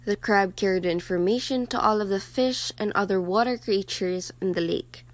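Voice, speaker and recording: Woman narrator telling a fable, mid-pitched. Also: normal speed. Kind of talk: scripted storytelling narration.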